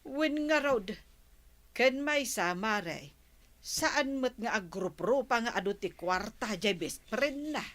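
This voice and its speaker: A woman's voice: fairly high pitch, lively intonation, slow pace, a bit bright. A woman with an Ilocano accent playing a nosy, gossiping village woman in a comedy radio drama. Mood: gossipy.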